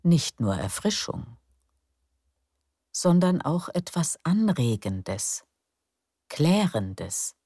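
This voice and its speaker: A woman narrating a calm bedtime story in a slow, soft, soothing way. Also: low voice, breathy edge, dry recording, clean studio sound.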